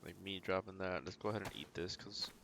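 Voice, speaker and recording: Male game streamer, lower register, casual think-aloud commentary, noisy game ambience behind the voice. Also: fast. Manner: talks through decisions as if thinking aloud. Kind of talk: casual live gameplay commentary.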